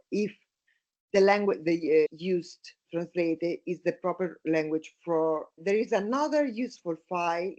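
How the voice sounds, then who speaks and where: Italian-accented woman, halting and low. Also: darkish tone, muffled recording. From Italy. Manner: halting, with many fillers and restarts. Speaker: guest. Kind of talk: casual interview.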